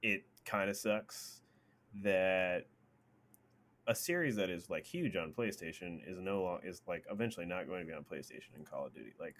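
A man's voice: low-pitched, animated, moderate pace, fairly bright tone. A man co-hosting a gaming news show, chatting informally and acting out mock quotes as he comments. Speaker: gaming news podcast co-host.

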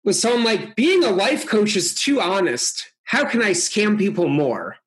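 A Russian-born comedian guest on a podcast, rambling through explanations and delivering jokes deadpan in casual banter. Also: male voice, high voice, unhurried.

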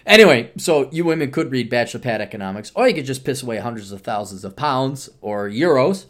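A man's voice: mid-range voice, expressive, brisk, a bit bright. A man hosting a solo podcast, ranting sardonically and with plenty of profanity while he plugs books. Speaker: podcast host.

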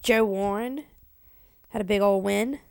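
A woman's voice: mid-range voice, a little slow, vocal fry, darkish tone, clean tone, no room sound. A woman co-hosting a podcast with a stuffy nose from a cold, teasing and blunt in casual chat. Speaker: podcast co-host.

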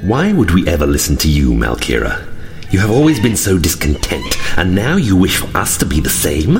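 Deep, proud, skeptical fallen angel played by a man. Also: wildly swooping pitch, creaky, faint music behind, exaggerated voice. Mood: skeptical, scornful. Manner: measured, questioning, formal. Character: proud, skeptical male fallen angel, weighing his allegiance. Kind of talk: fantasy audio drama acting.

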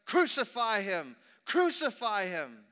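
A man playing an angry, clamoring mob of accusers in a scripture reading, with loud, drawn-out cries, in an echoing hall. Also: fairly high pitch, clean tone. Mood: shouting. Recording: long reverberant hall echo.

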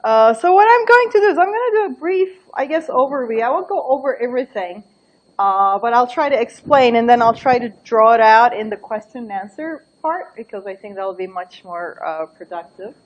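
A middle-aged woman, giving a book talk in a lively, thinking-aloud way with laughing asides. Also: on the high side, fairly quick, lo-fi sound. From Turkey. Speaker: invited book talk speaker. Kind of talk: academic talk with anecdotes.

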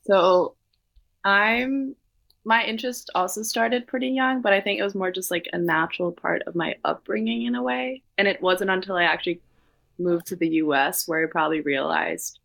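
A woman, from Denmark, co-founder of a consultancy, answering interview questions in a relaxed way full of "like" fillers. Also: mid-range voice, normal speed, vocal fry, no room sound.

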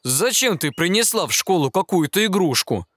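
A man's voice: sits high, sing-song, normal speed, fairly bright tone, no room sound, exaggerated voice. A man voicing a cautious male mole, a school watchman in a children's storybook, who asks worried, hesitant questions. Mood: doubtful. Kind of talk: storybook character voice.

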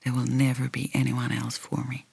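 A woman reading a first-person novel as an audiobook narrator, in a measured, reflective, carefully articulated way. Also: extremely low pitch, level tone, creaky, a little mellow, husky, no echo.

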